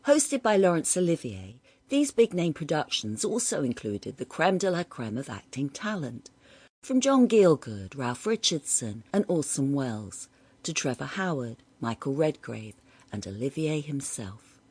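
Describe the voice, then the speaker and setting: A woman reading a scripted introduction in a breathy, expressive manner. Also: low-pitched, measured pace, dry recording. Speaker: narrator. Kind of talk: scripted narration.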